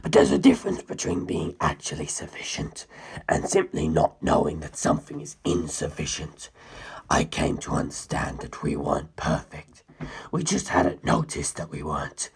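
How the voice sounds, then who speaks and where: A man voicing an ancient, menacing inhuman being in a dramatic story, gravelly and breathy, with grandiose, condescending speech. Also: wildly swooping pitch, moderate pace, heavily acted. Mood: musing, superior. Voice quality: very deep, gravelly, husky, breathy. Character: ancient, menacing, superior male non-human predator musing on humans. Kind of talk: dramatic audiobook character reading.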